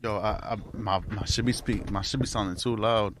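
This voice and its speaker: A man co-hosting a podcast in a laid-back way, cursing and firing quick comebacks. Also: fairly low pitch, steady tone, fairly bright tone, a little hiss or hum. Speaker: podcast co-host. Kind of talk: casual banter.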